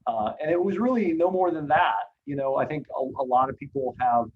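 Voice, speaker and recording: A man's voice: on the high side, fairly quick, breathy edge, narrow, tinny audio. Man telling his career story, many fillers. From grew up in Hong Kong. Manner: frequent "uh" fillers, candid and self-deprecating. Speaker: invited guest speaker.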